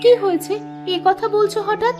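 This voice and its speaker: Woman acting very high-voiced, anxious village wife. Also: steady tone, moderate pace, over music. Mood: concerned. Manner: fretful, tender, gently scolding. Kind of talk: cartoon dialogue.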